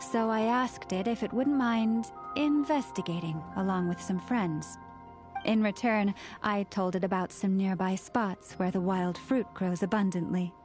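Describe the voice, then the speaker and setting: A woman playing a serene, gentle young woman in a video game, speaking in a measured, formal manner. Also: mid-range voice, close to an everyday voice. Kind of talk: video game character acting.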